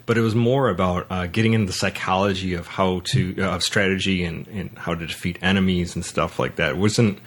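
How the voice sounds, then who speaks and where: A man hosting a podcast in a casual movie discussion, speaking in halting, hesitant phrases with repeated word starts. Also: low-pitched, steady tone. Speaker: podcast host.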